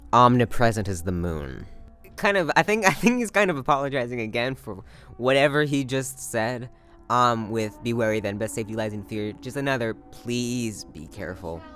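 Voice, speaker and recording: A man's voice: lowish voice, a bit bright, clear, resonant tone. A man casually talking through a character from a play, hesitant and thinking aloud as he goes. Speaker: actor discussing a play.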